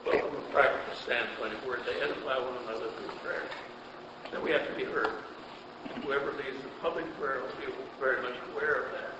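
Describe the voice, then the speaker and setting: A man speaks up from the audience of a church class, offering a measured, earnest comment. He is far from the microphone, so the room echo and background noise are strong around his voice. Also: medium pitch, fairly even intonation. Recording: distant, echoey and noisy in a hall.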